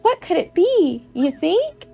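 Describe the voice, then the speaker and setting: A woman's voice: extremely high pitch, very animated, slow pace, creaky, warm tone, soft background music, voice pushed up, heavily acted. A woman voicing an eager, inquisitive young female cartoon creature sidekick, peppy and exclaiming. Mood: curious. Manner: exclaiming, peppy, full of questions.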